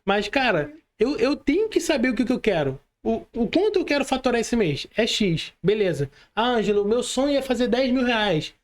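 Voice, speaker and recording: A man's voice: extremely high pitch, big pitch swings, moderate pace, hardly any pauses. A man with a Brazilian Portuguese accent hosting a casual podcast interview, speaking informally with slang and frequent tag questions seeking agreement. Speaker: podcast host.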